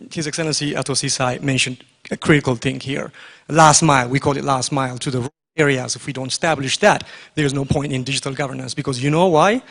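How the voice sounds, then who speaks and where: A man moderating a conference panel, talking directly and pressing his point with rhetorical questions. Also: a little high. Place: conference hall.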